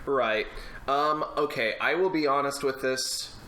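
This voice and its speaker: A man's voice: medium pitch, a little slow, sharp tone, echoey room, low-quality recording. A young man co-hosting a casual film podcast, speaking in a wry, profane way. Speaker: podcast co-host.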